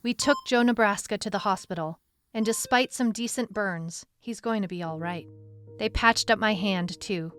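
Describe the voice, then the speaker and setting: A woman's voice: medium pitch, moderate pace, a bit bright, lightly acted. Determined female detective, played by a woman, mid-range voice, first-person audio drama narration with music underneath. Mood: brooding, reflective. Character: determined female detective telling her own case. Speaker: lead narrator.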